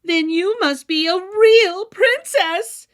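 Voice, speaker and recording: A woman voicing a scheming, excitable queen in a fairy tale. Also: very high-pitched, slow, pitched above the performer's own voice, heavily acted. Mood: jubilant.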